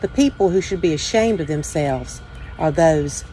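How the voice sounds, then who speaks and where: A woman with a somewhat low voice reads to children in a story time style. Her phrasing is measured and deliberate. A faint layer of background noise sits behind her. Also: animated, dark tone. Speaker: church children's ministry director, story time host.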